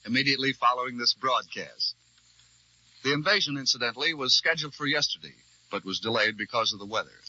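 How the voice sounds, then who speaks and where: An American man reading scripted news as a formal, measured radio correspondent in a 1940s broadcast. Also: mid-range voice, bright, forceful tone, dry recording. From United States. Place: radio newsroom. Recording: dull vintage 1940s radio broadcast recording.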